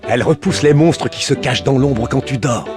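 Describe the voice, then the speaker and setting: A man's voice: mid-range voice, brisk, music in the background, lightly acted. A man voicing a confident grown-up father in a cartoon game. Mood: proud.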